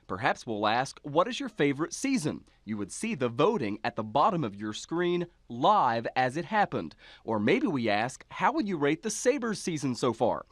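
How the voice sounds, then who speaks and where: Animated American male news narrator. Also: fairly high pitch, normal speed, hardly any pauses, dry recording. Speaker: TV news reporter. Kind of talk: scripted news package narration.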